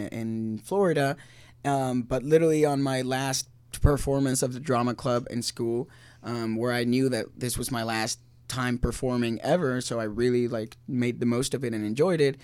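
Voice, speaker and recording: Smooth-voiced young man. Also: medium pitch, darkish tone, no room sound. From Argentina. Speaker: actor guest. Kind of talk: casual interview chat.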